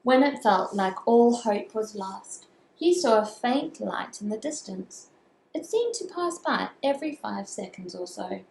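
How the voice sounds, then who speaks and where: A woman reading a children's story aloud as a storyteller, with expressive, drawn-out dramatic phrasing. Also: medium pitch, vocal fry. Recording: echoey room with faint background noise.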